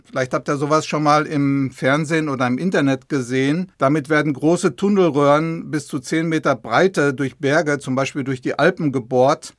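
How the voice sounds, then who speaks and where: A man's voice: fairly high pitch, dry recording, studio quality. A man explaining things simply and didactically to young listeners as an expert guest on a podcast. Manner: didactic, illustrating with everyday comparisons. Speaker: expert guest, government department head.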